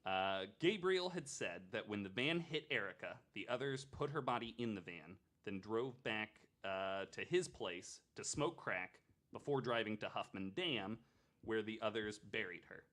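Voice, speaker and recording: A man's voice: lowish voice, lively intonation, measured pace, fairly bright tone. A man hosting a podcast, telling a true crime story in a casual, chatty way with joking asides.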